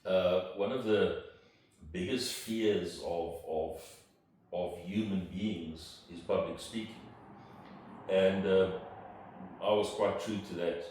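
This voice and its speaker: Deep-voiced man, echoey room. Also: steady tone, darkish tone. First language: Afrikaans. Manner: thoughtful, halting with fillers and restarts. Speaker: interviewed entrepreneur. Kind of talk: reflective interview answers. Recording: roomy, distant mic with room noise.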